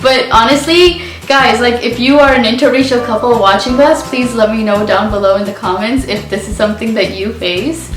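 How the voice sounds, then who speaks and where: A woman co-hosting a vlog, chatting informally to viewers in an expressive, emphatic way. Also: fairly high pitch, brisk, hardly any pauses. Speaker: video co-host. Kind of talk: casual chat addressed to viewers, switching languages. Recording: echoey room, distant mic, faint music.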